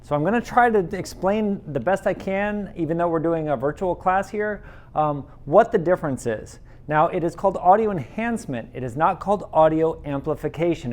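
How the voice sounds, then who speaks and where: A man with a slightly higher voice explains classroom technology to teachers in an easy, conversational way. He speaks through a pendant microphone in a classroom. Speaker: instructional technology trainer. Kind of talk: training presentation. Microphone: teardrop pendant microphone.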